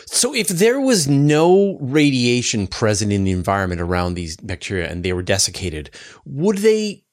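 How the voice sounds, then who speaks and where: A man's voice: medium pitch, huge pitch swings, normal speed. A man hosting a science interview, asking questions in a curious, informal, conversational way. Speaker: interviewer, host.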